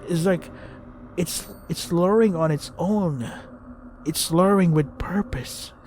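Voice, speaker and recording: A man's voice: high voice, vocal fry, slight background noise. A man reading a visual novel aloud as a gaming host, crude and irritated, stumbling over his words as he rants.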